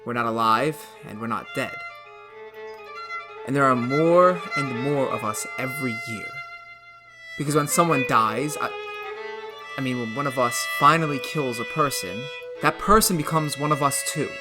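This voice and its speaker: A man playing a soft-spoken undead son. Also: mid-range voice, normal speed, music in the background, lightly acted. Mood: ominous. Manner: quiet, soft, ominous and regretful. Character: undead young man returned as wolf, eerie calm. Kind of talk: radio drama acting.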